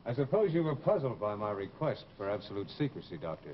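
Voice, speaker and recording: Bitter menacing man, low voice, cold formal delivery, radio horror drama, faintly hissy vintage recording. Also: very animated, fast. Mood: cold, guarded. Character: bitter, menacing, secretive former disfigured patient. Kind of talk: old-time radio horror acting. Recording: muffled, noisy old radio recording.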